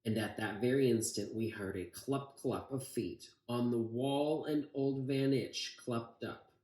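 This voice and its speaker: Male American reader, storybook narration and informal address, mid-pitched, slow-paced, roomy echo. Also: mellow. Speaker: city council member reading to children. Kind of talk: storybook read-aloud and informal talk. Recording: echoey room.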